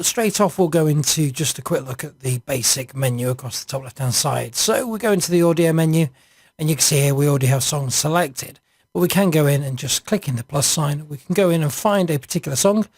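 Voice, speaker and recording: A man narrating an informal screencast software walkthrough, hesitant and improvised as he thinks aloud. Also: a little high, slightly rough, no echo, clean studio sound. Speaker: software reviewer.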